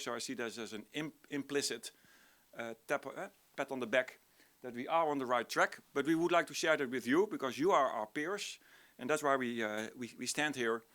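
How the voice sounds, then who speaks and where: A middle-aged man speaks with a Dutch accent in a mid-range voice. He presents informally, like a lecturer at a conference. His delivery is halting, as he restarts phrases and searches for words in English. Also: medium pitch, a bit bright. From Netherlands. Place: conference room, before an audience.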